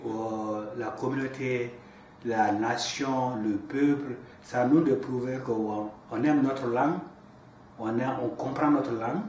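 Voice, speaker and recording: A man's voice: medium pitch, a little mellow. Hesitant man interviewing in an echoey room. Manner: hesitant, repeats words, many fillers. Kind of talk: loose bilingual interview, many restarts. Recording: echoey room, from far off, faint noise.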